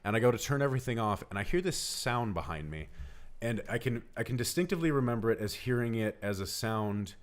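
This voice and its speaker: A man's voice: medium pitch, animated, fast talker. A man telling a personal story casually on a podcast, earnest and emphatic in the way he describes things. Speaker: podcast co-host.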